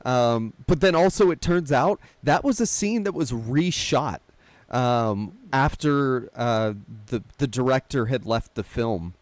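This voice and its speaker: A man hosts a relaxed film chat in a mid-pitched voice, recorded very dry. He recaps plots conversationally and drops in wry, self-deprecating asides. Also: a little slow, dry recording. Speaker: podcast host. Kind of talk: casual film discussion, recapping a plot.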